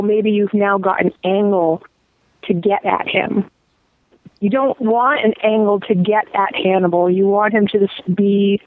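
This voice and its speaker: A woman's voice: medium pitch, dry recording. A woman co-hosting an interview, talking casually over a phone-like connection. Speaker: podcast co-host. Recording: tinny phone-like line.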